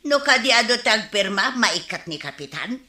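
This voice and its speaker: Echoey; curious, disapproving village woman, animated bright voice, Ilocano accent. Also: mid-range voice, normal speed. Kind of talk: Ilocano radio drama acting. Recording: roomy echo.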